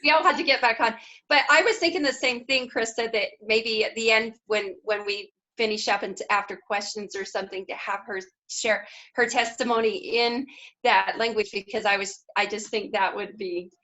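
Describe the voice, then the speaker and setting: Woman, bright and a bit high, eager and casual, video call. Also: fairly even intonation, moderate pace, rough recording.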